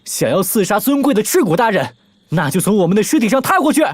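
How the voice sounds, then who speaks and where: Animated series: a zealous shiba guard dog, taunting and declamatory, very animated intonation. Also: medium pitch, quick pace, no room sound. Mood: taunting, defiant.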